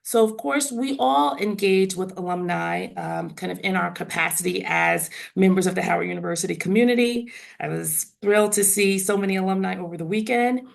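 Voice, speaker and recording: A woman in her forties with a raspy voice, hoarse from laryngitis, answering interview questions in a measured way over a video call. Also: fairly low pitch. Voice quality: raspy, gravelly. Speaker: university trustee guest.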